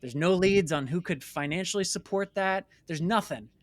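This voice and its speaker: Higher-pitched man, animated conversational storyteller. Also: moderate pace, no echo. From New York City, United States. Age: late 30s. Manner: emphatic, acts out imagined dialogue. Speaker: interview guest, filmmaker and company founder.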